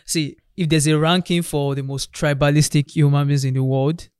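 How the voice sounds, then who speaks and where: A man's voice: on the high side, fairly even intonation, moderate pace, a little mellow, clean tone, dry recording, clean studio sound. A young man chatting about politics, emphatic and repeating words as he builds a point. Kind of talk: casual political chat.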